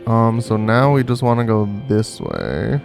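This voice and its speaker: A man's voice: on the low side, wildly swooping pitch, unhurried, gravelly. A man streaming a video game, chatting casually through his gameplay as he goes. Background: game music.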